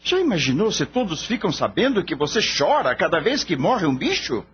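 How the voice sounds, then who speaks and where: A man's voice: sits high, big pitch swings, a little fast. A man playing a skeptical, scornful veterinarian boss in a radio drama, blunt, mocking and lecturing. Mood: bossy, stern. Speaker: voice actor. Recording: dull lo-fi audio, faint hum.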